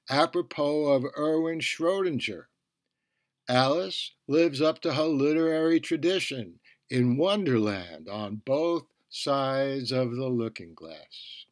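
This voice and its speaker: A man presents a podcast review at a very unhurried pace. His middle-register voice is deliberate in its phrasing. The sound is dry, with hardly any room to it. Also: medium pitch, no room sound. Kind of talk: scripted review narration.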